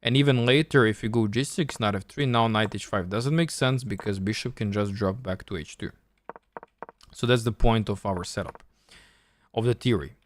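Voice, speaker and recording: A man's voice: lowish voice, normal speed. A man from Serbia with a Serbian accent, thinking aloud and explaining step by step as he coaches on a live chess stream.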